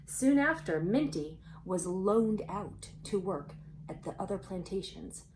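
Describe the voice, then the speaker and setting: A woman reading a children's storybook aloud expressively, pausing for conversational asides and questions to young listeners. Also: mid-range voice, lively intonation, a little mellow, slight background noise, rough recording.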